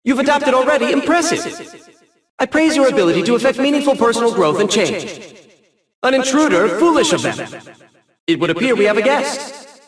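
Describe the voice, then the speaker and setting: Smug eccentric genius villain, youthful high voice, animated delivery, precise theatrical diction, video game, echoey. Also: male voice, moderate pace, long pauses. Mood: smug encouragement.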